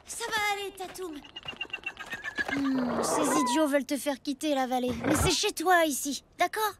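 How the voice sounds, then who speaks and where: A woman voicing a brave, excitable young cartoon boy, earnest and exclamatory, loyal to his friend. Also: high voice, moderate pace, heavy background noise. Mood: reassuring. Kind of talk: French cartoon dubbing.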